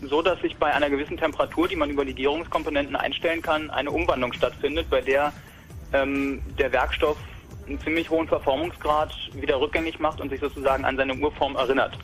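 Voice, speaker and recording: A man's voice: fairly high pitch, fairly even intonation, fast, a bit bright, soft background music, poor audio. A young man from Berlin talking casually about a hobby robot project, rambling with many fillers and restarts. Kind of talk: casual technical talk.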